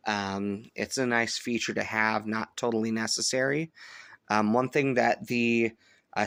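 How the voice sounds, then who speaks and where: A man giving a casual hands-on product review, thinking aloud in a halting way. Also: on the low side, creaky, fairly bright tone, no echo. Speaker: host of a shortwave radio review channel. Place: indoors at home, upstairs room.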